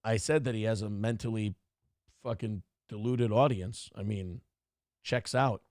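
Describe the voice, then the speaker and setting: A man's voice: fairly low pitch, fairly even intonation, a little slow, a little mellow, no room sound, clean studio sound. A man hosting a livestream show, chatting casually, swearing freely and reading out viewer donations. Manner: profane, reads donor messages aloud. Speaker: streamer and show host.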